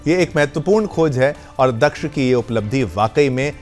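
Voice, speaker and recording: A man's voice: mid-range voice, lively intonation, normal speed, a little mellow. A man anchoring television news, explaining stories conversationally and often rephrasing points for viewers. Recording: music bed under the voice. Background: background music.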